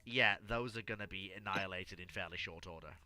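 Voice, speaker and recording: A man's voice: deep voice, a little fast, pushed and bright, soft background music. A man hosting a casual history discussion, often stammering and repeating words as he thinks aloud. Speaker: livestream host answering listener questions.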